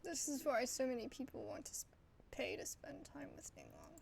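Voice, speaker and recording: A woman doing a casual game playthrough, reading dialogue aloud and reacting in soft, hesitant asides. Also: sits high, animated, moderate pace, creaky, a little hiss or hum. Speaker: gamer playing along.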